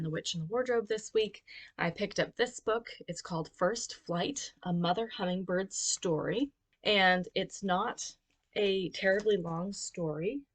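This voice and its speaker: Lower-voiced woman chatting on a vlog. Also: fairly bright tone. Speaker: homeschooling mother presenting her vlog. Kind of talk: casual educational vlog talk.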